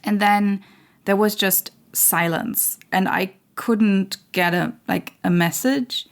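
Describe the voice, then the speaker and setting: A woman hosting her own spiritual channel, talking in a slow, searching way with many fillers and restarts while losing her voice. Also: mid-range voice, steady tone. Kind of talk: reflective spiritual monologue.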